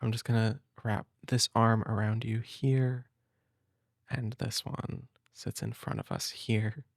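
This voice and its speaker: Soothing male big-brother type for bedtime-style reading: unhurried, close and dry, tender, slightly hesitant. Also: mid-range voice, fairly even intonation, creaky, dry recording. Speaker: audio roleplay performer as a caring big brother. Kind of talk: intimate comfort roleplay and storybook reading.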